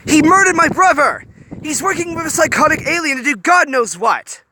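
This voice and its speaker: A man voicing an angry, grieving teenage boy inventor who shouts clipped commands. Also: medium pitch, a little slow, pitched above the performer's own voice, poor audio. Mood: furious. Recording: steady background noise.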